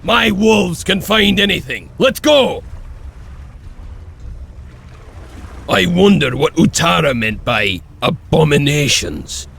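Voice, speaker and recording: An actor playing a feisty, gruff male wolf tracker in a video game, excitable and scornful with a raspy voice. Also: on the high side, a little slow, slight background noise, full character voice. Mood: eager. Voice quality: raspy, gravelly. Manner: excitable, exclamatory.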